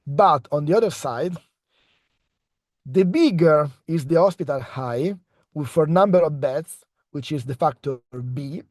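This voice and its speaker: A man's voice: a little high, unhurried, no room sound, studio quality. A young man with an Italian accent giving an online research presentation over a video call, hesitating often with fillers and restarts. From Italy.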